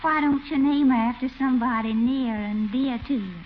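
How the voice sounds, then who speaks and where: High-pitched coquettish Southern belle played by an actress. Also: female voice, normal speed, natural voice. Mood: coy, flirtatious. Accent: Southern American. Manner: coy, cooing, affected. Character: coquettish Southern belle neighbor, flirtatious and easily piqued. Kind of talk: 1940s radio comedy acting. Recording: muffled, faintly noisy 1940s radio recording.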